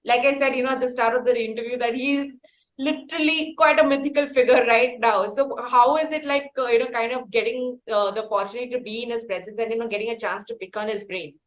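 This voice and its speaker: A woman's voice: a little high, fairly even intonation, fast talker. A woman with an Indian accent hosting a conversational interview, speaking in an effusive, wordy way. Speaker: interviewer, host.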